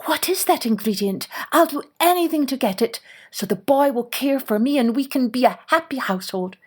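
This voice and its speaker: A woman's voice: sits high, animated, normal speed, darkish tone, pitched above the performer's own voice. A woman storyteller voicing an earnest, desperate stepmother in a folk tale, with a pleading manner. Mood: pleading, anxious. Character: earnest, desperate young stepmother seeking help.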